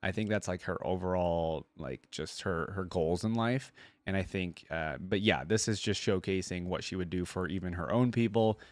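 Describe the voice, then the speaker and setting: Male reaction host, informal conversational commentary, lower voice, dry sound. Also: normal speed, dry recording. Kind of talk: casual commentary and story read-aloud.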